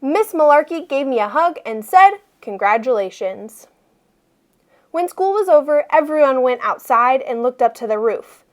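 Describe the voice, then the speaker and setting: Animated high-voiced woman storyteller. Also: moderate pace, hardly any pauses. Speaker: read-aloud storyteller. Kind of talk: expressive children's picture book reading.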